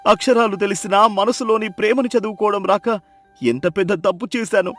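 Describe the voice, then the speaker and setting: A man plays a village husband in a moral tale, his voice fairly high. He is hot-tempered and deeply remorseful, speaking melodramatically with music underneath. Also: fairly bright tone. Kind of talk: melodramatic moral-story dialogue.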